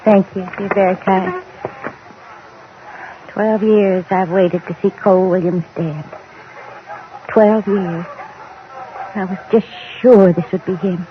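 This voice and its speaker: An actress in an old-time radio drama playing a grieving, haunted widow in her thirties who speaks haltingly and emotionally. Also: mid-range voice, fairly even intonation, natural voice. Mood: bitter, sorrowful. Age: middle-aged. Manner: halting, emotional, repeating phrases. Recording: muffled old radio recording, faint noise.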